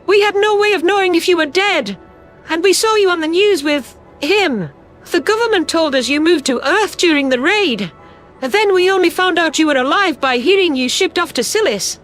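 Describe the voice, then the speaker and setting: A woman's voice: very high-pitched, expressive, normal speed, fairly bright tone, soft background music. A woman playing an elderly female alien mother, anguished, reproachful and emphatic in a sci-fi audio drama. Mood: hurt, angry.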